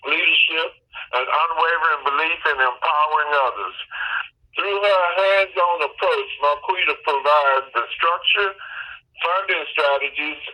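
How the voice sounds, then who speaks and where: A man hosting a conference call, briskly reading a formal introduction of the guest. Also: fairly high pitch, dull audio. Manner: brisk, formal announcer delivery. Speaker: call host.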